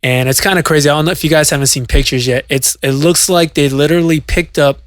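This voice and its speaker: A man's voice: on the high side, fairly even intonation, fast talker, dry recording. A male DJ co-hosting a podcast, telling a story at length in casual chat, with many fillers and restarts. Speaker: podcast co-host and DJ.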